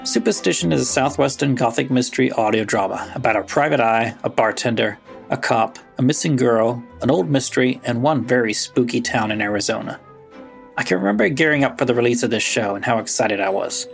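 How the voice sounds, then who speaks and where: Man, podcast host, scripted credits read, gravelly fry, medium pitch, soft music bed. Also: animated, brisk.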